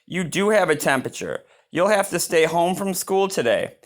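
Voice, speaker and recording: A man voicing a caring, busy mother bear from a children's storybook, with a gentle, concerned manner. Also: sits high, lively intonation, normal speed, voice pushed up. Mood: attentive.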